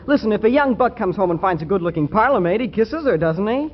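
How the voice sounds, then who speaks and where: An actor playing a brash, girl-crazy prep school boy, excitable and slangy, in a 1940s radio comedy. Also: sits high, quick pace. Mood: indignant. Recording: dull, hissy 1940s radio recording.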